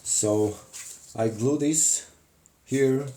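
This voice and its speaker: A man with a Bulgarian accent hosts a casual live crafting demonstration, talking haltingly with many fillers and restarts. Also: mid-range voice, mellow, slight background noise. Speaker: craft livestream host.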